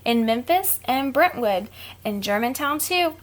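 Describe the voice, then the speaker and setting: High-voiced woman reading aloud to children. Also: unhurried, a little hiss or hum. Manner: expressive, simple explaining to young listeners. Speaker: teacher reading picture books aloud. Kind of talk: children's read-aloud with casual asides.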